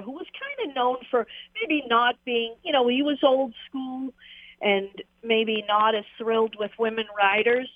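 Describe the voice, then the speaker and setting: A woman's voice: on the high side, no echo. A woman and former jockey, telling stories in a rambling, casual interview with frequent laughs and fillers. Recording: tinny phone line.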